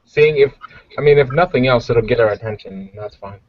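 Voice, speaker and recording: A man's voice: mid-range voice, a little fast, no echo. A man playing a tabletop game, chatting casually and hesitantly as he thinks through his character's actions aloud. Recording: dull lo-fi voice recording.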